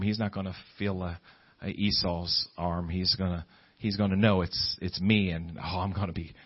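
Male preacher, higher-pitched voice, dull recording. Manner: emphatic, rhetorical questions, quotes scripture and imagined objectors. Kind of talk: sermon, conversational extemporaneous preaching.

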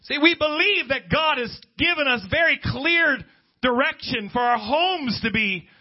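A man's voice: extremely high pitch, measured pace, lo-fi sound. A man preaching a Pentecostal-style sermon to a congregation, speaking emphatically, repeating phrases and prompting listeners for agreement.

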